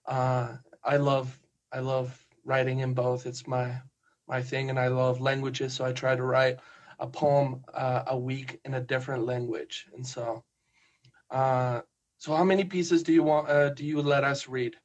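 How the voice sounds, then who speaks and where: A man from Spain with a Spanish accent, a guest poet who chats hesitantly and reads his poems slowly and softly. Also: medium pitch, flat delivery. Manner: hesitant, soft-spoken, slow measured reading. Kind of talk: casual chat and poetry reading.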